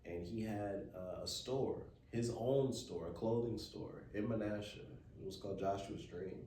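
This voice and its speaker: An American man telling stories casually on a podcast in a laid-back, slangy, halting way. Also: lowish voice, dark tone. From born in Memphis, USA. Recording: roomy, distant mic, faint background noise.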